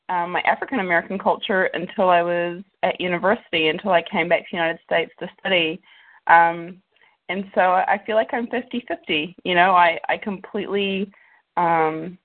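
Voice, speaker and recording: A woman from New Zealand with a somewhat low voice talks reflectively about herself, pausing on frequent ums. The sound is narrow and phone-like. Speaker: guest, winery co-founder. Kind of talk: casual interview conversation. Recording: tinny phone line.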